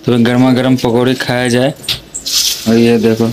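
A chatty young man films his family on a phone and talks to the viewers in a small home. His voice sits at an ordinary middle pitch. Clear background noise runs under the whole recording. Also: little pitch movement, moderate pace. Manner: chatty, laughs often, addresses viewers directly. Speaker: vlogger. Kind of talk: casual family vlog narration.

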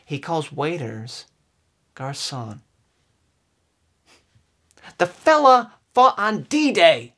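A man in his twenties giving an earnest, overwrought dramatic reading, with emphatic phrasing and deliberate pauses. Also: on the high side, huge pitch swings, bright, forceful tone. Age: 20s. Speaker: comedic performer. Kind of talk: theatrical dramatic reading of song lyrics. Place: indoors, small room with curtain backdrop.

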